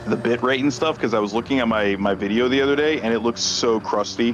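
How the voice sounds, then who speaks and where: A man's voice: medium pitch, fast, soft background music. A man chatting casually as a livestreamer, rambling and self-deprecating, with an everyday conversational delivery.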